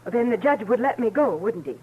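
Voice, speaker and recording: A woman's voice: a little high, expressive, husky, narrow, tinny audio. A plain-spoken, resolute frontier mother. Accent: rural American. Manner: earnest, folksy, halting. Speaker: radio actor. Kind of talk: old-time radio western acting. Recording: noisy vintage radio recording with hum.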